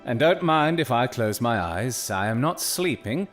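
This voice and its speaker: Actor as gentleman detective, Victorian, courteous, probing, very varied intonation, music behind, audio drama. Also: medium pitch, animated, a little slow, natural voice. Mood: attentive. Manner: formal, probing, commanding. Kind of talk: period audio drama acting.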